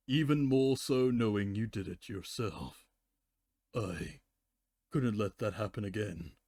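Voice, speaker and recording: An ancient, sorrowful pony mare, as a man reads her in a storybook style. The delivery is slow and deliberate, solemn and pleading, in a close, dry recording. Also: fairly low pitch, warm tone, no echo. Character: weary, pleading immortal female pony, aged and sorrowful. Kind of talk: dramatic fan fiction reading.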